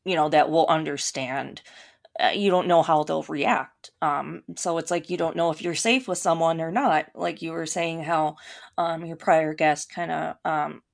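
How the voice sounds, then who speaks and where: A woman with a Minnesota accent talks on a podcast in a lowish, gravelly voice. She speaks thoughtfully, with frequent ums and restarts, like a guest in a relaxed conversation. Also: unhurried. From Minnesota, USA. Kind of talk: casual conversation.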